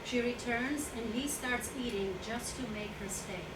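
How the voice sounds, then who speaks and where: A woman's voice: on the high side, measured pace, fairly bright tone. A woman narrator reading out stage directions as scripted narration at a staged play reading. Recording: roomy, distant mic, very noisy.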